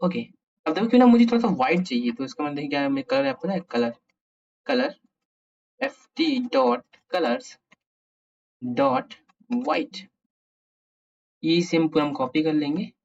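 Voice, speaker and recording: A man's voice: fairly high pitch, sing-song, moderate pace, vocal fry, pauses often, darkish tone. A man with an Indian accent giving a casual coding tutorial, thinking aloud playfully and mixing Hindi with English. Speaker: programming tutorial host. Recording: dull lo-fi microphone sound.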